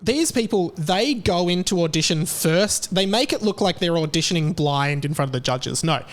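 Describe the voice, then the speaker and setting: A young Australian man talks through his opinions on his own commentary show. His voice sits a little high, and his delivery is chatty and sarcastic. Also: lively intonation, moderate pace. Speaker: podcast host. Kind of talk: casual commentary monologue.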